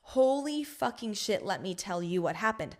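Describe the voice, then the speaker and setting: A young adult woman hosting her own podcast, talking in a frank, rambling way full of swearing and filler words, with emphasis that swings between loud and soft. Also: mid-range voice, expressive, moderate pace. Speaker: podcast host. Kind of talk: casual solo podcast monologue.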